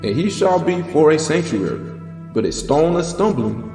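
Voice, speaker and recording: A man's voice: a little high, very animated, normal speed. A man narrating a dramatized Bible reading in a solemn, declamatory and emphatic manner. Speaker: scripture narrator. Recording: echoing voice over background music. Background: background music.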